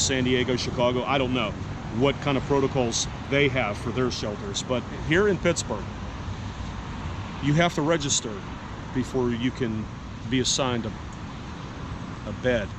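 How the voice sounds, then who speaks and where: A man talking frankly and plainly in a casual vlog, with a congested, stuffy-headed voice, recorded outdoors in a city park. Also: medium pitch. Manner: frank, plain-spoken, rambling asides. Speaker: homeless vlogger. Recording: outdoor noise in the background.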